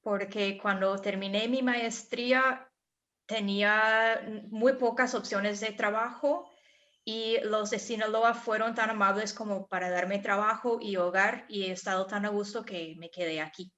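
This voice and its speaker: A woman's voice: mid-range voice, steady tone, measured pace, fairly bright tone. American woman in her 30s, english is her first language, friendly simple answers, kinda muffled lo-fi sound. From United States. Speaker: guest musician. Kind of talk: answering children's questions.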